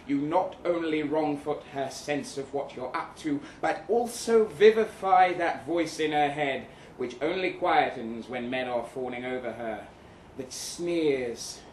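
A man giving an expressive, arch, theatrical reading of a first-person short story at a live event. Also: medium pitch. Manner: expressive, wry, arch delivery. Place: indoor live reading event before an audience. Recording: echoey live room, audible noise.

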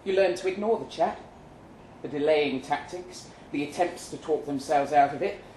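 A man performing a live short-story reading as a weary, jaded agent, speaking deliberately with dramatic pauses. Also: fairly high pitch, a little mellow, close to an everyday voice. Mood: tense, jaded. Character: weary, jaded male agent narrating his own story. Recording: roomy live venue, some noise.

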